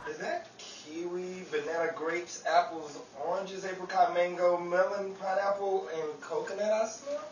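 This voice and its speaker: A man's voice: high-pitched, fairly even intonation, moderate pace, few pauses, lightly acted. A man playing a brash, bantering New York fight trainer in a 1930s radio comedy, with exaggerated comic patter. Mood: teasing. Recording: echoey room, distant mic, background noise.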